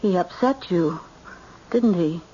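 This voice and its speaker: An actress playing an anxious, fussing American mother in an old-time radio drama, halting and repeating herself. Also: on the low side, lively intonation, mellow, highs cut off, slight background noise. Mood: concerned.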